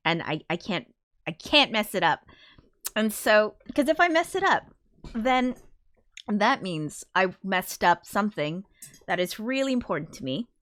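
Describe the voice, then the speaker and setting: A woman's voice: mid-range voice, a bit bright, no room sound. Sniffly woman streamer, dry close mic. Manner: chatty, self-deprecating, swearing, quick laughs. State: a little sick and sniffly. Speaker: livestream host. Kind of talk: casual livestream chat.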